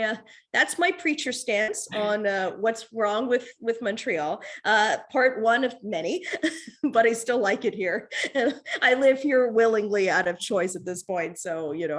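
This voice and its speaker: A woman's voice: medium pitch, normal speed. A woman, a podcast guest and comedian, talking casually and rambling with restarts and frequent laughter. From North America. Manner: rambling, animated, laughs often, many restarts. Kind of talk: casual conversation.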